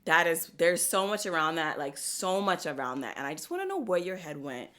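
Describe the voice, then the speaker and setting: Animated low-voiced woman podcast host. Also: a little fast. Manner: animated, laughing, slangy. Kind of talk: casual chat.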